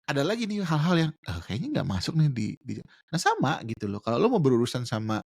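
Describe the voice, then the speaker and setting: A man's voice: mid-range voice, huge pitch swings, fast talker, creaky, a little mellow, husky, dry recording, clean studio sound. A man, explaining at length in casual podcast chat, slangy and mixing in English words. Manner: explains with examples, slangy Jakarta register, mixes in English words. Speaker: guest.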